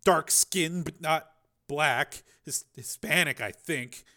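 Battered kid, played by a male narrator: pitched up, nervous, polite, halting storybook character. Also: pushed and bright, full character voice. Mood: bitter, hesitant. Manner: hesitant, stumbling over words. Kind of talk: audiobook character voice.

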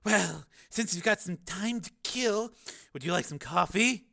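Audiobook colonel, acted by a man: courteous officer, crisp, lifted pitch, confident formality. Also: expressive, a little slow, pushed and bright, exaggerated voice. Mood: businesslike. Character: courteous, self-assured military colonel, male.

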